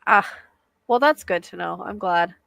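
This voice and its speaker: A woman's voice: lowish voice, animated, measured pace, creaky, a bit bright, no echo. A woman hosting a casual live chat as a psychic medium, with a soothing voice and a chatty, hesitant way of talking full of fillers.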